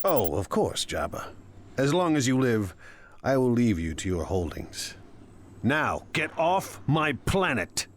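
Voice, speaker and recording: A man's voice: mid-range voice, very animated, slow, a little hiss or hum. A man playing a ruthless, ambitious crime boss in a video game, speaking in a smug, imperious, commanding way. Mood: smug, threatening. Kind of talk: cinematic video game acting.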